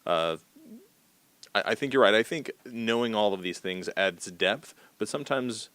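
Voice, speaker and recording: A man's voice: lowish voice, animated, moderate pace, fairly bright tone, dry recording. An American man hosting a podcast interview in a casual, conversational style.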